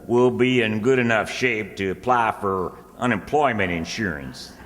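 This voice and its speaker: A man's voice: fairly low pitch, normal speed, a bit bright, natural voice. An actor playing a self-important, scheming country businessman, explaining things insistently in an old-time radio comedy. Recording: reverberant hall stage performance.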